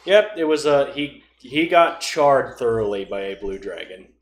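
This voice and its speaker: A man's voice: medium pitch, lively intonation, unhurried, reverberant, slight background noise. A man in casual podcast conversation about tabletop role-playing, with a dryly joking, conversational way of talking. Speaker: podcast speaker.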